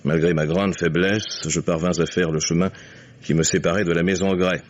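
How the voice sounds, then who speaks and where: Shrewd, commanding older father, very low register, measured and ironic, old radio mystery style, muffled sound. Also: steady tone. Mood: grave, measured. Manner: courteous, deliberate, suavely ironic. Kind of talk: 1950s French radio mystery acting. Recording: dull vintage radio recording.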